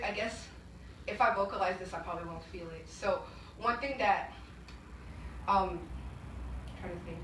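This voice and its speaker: A young woman from Rwanda performing spoken-word poetry live in a small art gallery, with emphatic, rhythmic delivery and sudden loud bursts, between pieces chatting to the audience. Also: lowish voice, background noise. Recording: echoey gallery room, mic far off.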